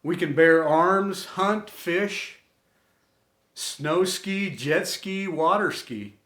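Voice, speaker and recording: A man with a slightly raised pitch reads an inspirational tribute very slowly and earnestly. He sets out each short phrase deliberately, with pauses between them. The room around his voice is clearly echoey. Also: fairly bright tone. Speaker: storyteller and host of an inspirational series. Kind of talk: scripted inspirational tribute reading.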